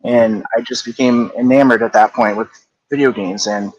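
A man in his thirties, a podcast guest, talking in a reflective, easygoing way and hedging often. His voice is mid-pitched and ordinary, with a relaxed conversational flow. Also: moderate pace, dull audio. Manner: reflective, hedging with "like" and "I don't know". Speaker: guest, game company co-founder. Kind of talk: casual interview chat.

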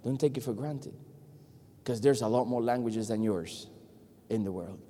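Animated Puerto Rican-accented man preaching. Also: mid-range voice, moderate pace. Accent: Puerto Rican Spanish. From Puerto Rico. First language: Spanish. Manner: animated, joking with the congregation. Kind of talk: lively sermon. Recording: long hall reverb.

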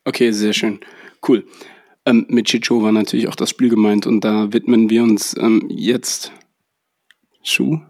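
A man's voice: fairly low pitch, little pitch movement, a little slow, darkish tone, no echo, clean studio sound. A man with a cold hosting a casual podcast chat, hesitant and full of fillers. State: has a cold. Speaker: podcast host. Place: holiday house.

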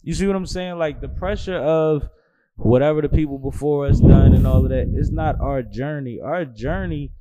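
A man, hosting a casual barstool podcast chat, laid-back and swearing freely, with loud laughing asides. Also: fairly high pitch, normal speed, dull audio. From Philadelphia. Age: 30s. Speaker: podcast host. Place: small studio.

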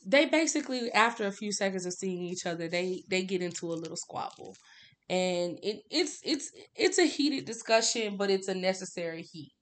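A woman's voice: medium pitch, expressive, normal speed, a bit bright. A woman co-hosting a podcast, talking through a book in a casual, candid way and often repeating words for emphasis. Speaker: podcast co-host.

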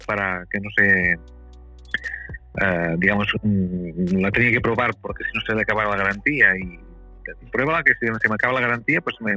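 Husky bright-toned man, rambling. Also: on the low side, no echo, rough recording. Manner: rambling, stumbling restarts. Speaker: guest photographer. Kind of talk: casual storytelling.

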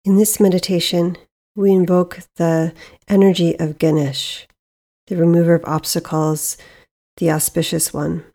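Mellow, low-pitched woman guiding meditation. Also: flat delivery. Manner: soothing, measured, with long pauses. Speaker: meditation guide, podcast host. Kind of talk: guided meditation narration.

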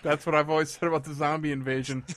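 A man's voice: on the high side, level tone, rapid-fire, fairly bright tone. A man joking and teasing as a podcast co-host in casual chat.

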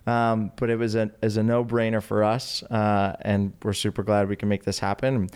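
A man's voice: lowish voice, little pitch movement, normal speed, a little mellow, clear, resonant tone. A man hosting a casual podcast interview in an upbeat, chatty, welcoming manner. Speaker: podcast host.

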